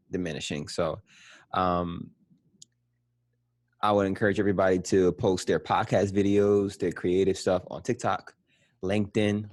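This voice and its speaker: Man, guest, very deep voice, measured and full of fillers, dry close sound. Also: dry recording. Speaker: podcast guest. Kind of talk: casual chat.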